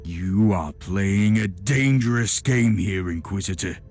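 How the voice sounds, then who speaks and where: A resolute, commanding inquisitor from a grim video game. He has a fairly low voice and speaks tersely, with stern suspicion, over faint music. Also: slow, a little mellow. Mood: suspicious, stern. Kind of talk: dramatic video game cutscene acting. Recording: music behind the voice.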